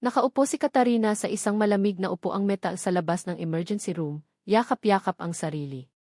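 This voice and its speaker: A woman narrating a scripted drama in measured, dramatic short phrases. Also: mid-range voice, a little mellow, no room sound, studio quality.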